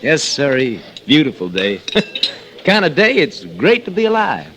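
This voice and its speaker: A man's voice: medium pitch, huge pitch swings, a little slow, heavy background noise. An actor playing a folksy, chatty working man in a vintage radio drama, drawling out small talk. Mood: cheerful. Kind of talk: 1940s radio drama acting.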